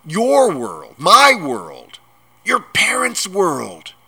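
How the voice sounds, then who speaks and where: Blustering giant gamekeeper, growling and booming, bright forceful tone, big pitch swings, storybook reading. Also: male voice, sing-song, a little slow, full character voice. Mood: thundering outrage. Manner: booming, growling, rustic speech. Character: huge gruff giant gamekeeper, blustering and indignant.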